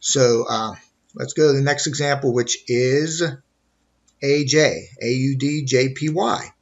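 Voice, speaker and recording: A man with a medium-pitched voice talks as an instructor walking through an on-screen tutorial. He explains methodically, one step at a time. The voice-over sounds a little dull and lo-fi. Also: steady tone, measured pace. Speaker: trading instructor. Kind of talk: informal screen tutorial walkthrough.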